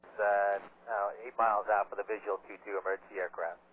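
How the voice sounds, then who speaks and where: A man piloting an airliner, making terse, slightly halting calls over cockpit radio. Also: fairly low pitch, little pitch movement, husky. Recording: narrowband crackly cockpit radio.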